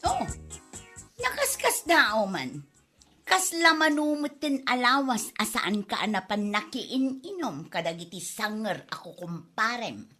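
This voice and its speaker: High, bright-voiced bossy wife, Ilocano accent, scolding with wide swings, for comedy audio drama played by a woman. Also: sing-song, a little slow, faint music behind, full character voice. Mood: threatening. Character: domineering, threatening, heavyset wife scolding her drinking husband. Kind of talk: comedy drama podcast acting.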